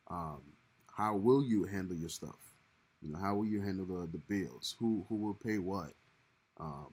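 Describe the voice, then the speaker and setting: A young man co-hosting a casual livestream with his wife, rambling and repeating himself as he restarts his sentences. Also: low-pitched. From Haiti. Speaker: co-host of a married couple's livestream.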